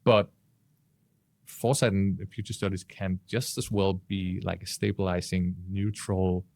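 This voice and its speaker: A man speaking conversationally on a podcast panel, with hesitant, deliberate phrasing. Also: sits low, little pitch movement, gravelly, darkish tone, no room sound.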